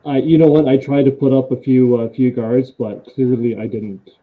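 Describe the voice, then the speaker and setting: A male host in a casual live interview, friendly and quick to laugh, often restarting his sentences. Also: medium pitch, flat delivery. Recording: tinny phone-like line.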